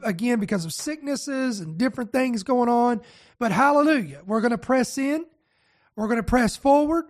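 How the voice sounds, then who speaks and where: A male pastor hosting a teaching broadcast, raspy and nasal with a head cold, talking casually and straight to his viewers. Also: extremely high pitch. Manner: relaxed, speaks straight to viewers. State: congested from a virus.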